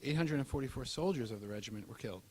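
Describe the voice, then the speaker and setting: A man's voice: mid-range voice, lively intonation, racing, darkish tone. Formal male narrator, mid-range voice. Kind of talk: scripted historical narration.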